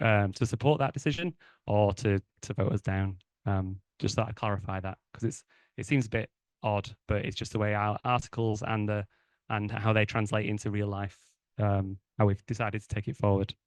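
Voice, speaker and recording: Man, smooth clear voice, lowish pitch, rambly explanation, dry sound. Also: no room sound. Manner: loose, repetitive phrasing with many fillers. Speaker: board member explaining the vote. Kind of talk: explaining to a meeting.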